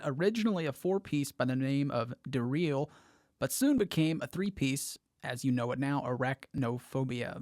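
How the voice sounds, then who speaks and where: A man's voice: mid-range voice, darkish tone, dry recording, studio quality. A man presenting a local music show on the radio as a DJ.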